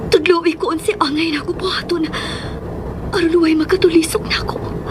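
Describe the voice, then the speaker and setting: A woman's voice: very high voice, steady tone, a little fast, background noise. An actress playing an emotional mother torn between lost love and her child in a Philippine radio drama. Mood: anguished, yearning. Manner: emotional, prayerful, breaking into hushed soliloquy. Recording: radio drama with music underneath. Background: dramatic background music.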